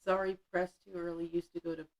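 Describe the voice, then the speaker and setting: A woman's voice: fairly low pitch, little pitch movement, quick pace. A woman in her fifties hosting a casual livestream, chatty and blunt, laughing often as she reads the chat. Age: 50s. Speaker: livestream host.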